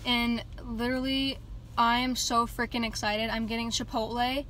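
A teenage girl vlogging in a casual, jokey way, her voice a little raspy from short sleep, filmed on a phone at arm's length in a parked car. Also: on the high side, level tone, moderate pace, pushed and bright, slight background noise, low-quality recording. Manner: chatty, jokey, lots of "like" and "literally". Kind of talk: casual vlog chat. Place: parked car on a rainy day. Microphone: phone held at arm's length.

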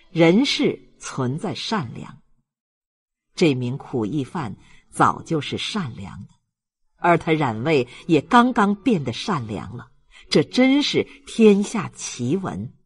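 A man's voice: fairly high pitch, sing-song, takes it slowly, husky. A man narrating a literary novel as a scripted audiobook reading.

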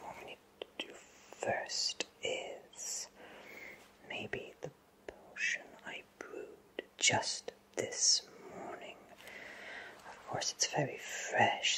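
A man playing a friendly, absent-minded wizard who runs a potion shop, speaking in a soft breathy whisper for an ASMR roleplay, gently and reassuringly. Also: low-quality recording. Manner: slow, gentle, hesitant, reassuring.

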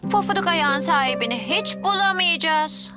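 Curious little boy, very high, music bed. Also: expressive, normal speed. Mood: eager. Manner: eager, wide-eyed. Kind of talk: cartoon dub acting. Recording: studio dub with music bed.

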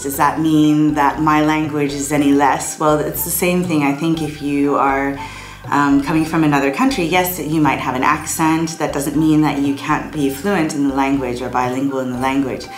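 A woman with a very low voice speaks earnestly and emphatically. Her voice echoes around the room, with faint music behind. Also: fairly even intonation, moderate pace. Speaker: interviewee. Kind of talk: casual interview answers.

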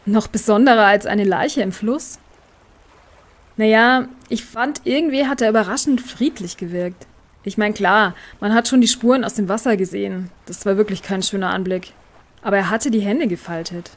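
A shaken female witness in a crime radio play, acted by a woman. She talks colloquially and hesitantly in a medium-pitched voice. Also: normal speed, natural voice. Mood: hesitant, pensive. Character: shaken female jogger, witness who found a body. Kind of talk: audio crime drama acting.

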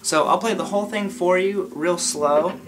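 A man with a sore throat teaching a casual guitar lesson, explaining slowly and step by step in a laid-back way. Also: sits high, noisy background. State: sore throat from being sick. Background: his own guitar playing.

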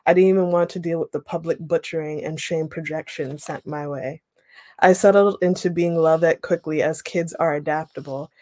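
A woman's voice: low-pitched, dry recording. Woman reading aloud on a video call. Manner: measured reading, then relaxed explaining with ums. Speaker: writing workshop leader. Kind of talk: reading aloud and teaching a workshop. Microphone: webcam on a video call.

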